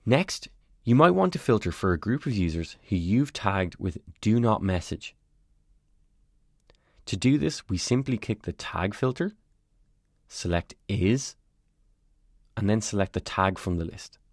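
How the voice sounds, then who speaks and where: A man calmly narrating a step-by-step software tutorial as a voice-over on a screen recording. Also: fairly low pitch, a little slow, long pauses, dry recording, studio quality. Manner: calm, step-by-step explanatory.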